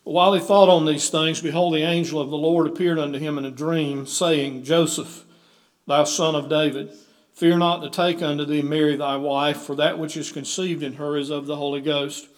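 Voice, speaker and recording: A man's voice: on the high side, steady tone, moderate pace. A man, the pastor, leading a church service and reading scripture aloud in a church sanctuary. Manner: leads a hymn, then reads scripture aloud. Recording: roomy church echo with organ music.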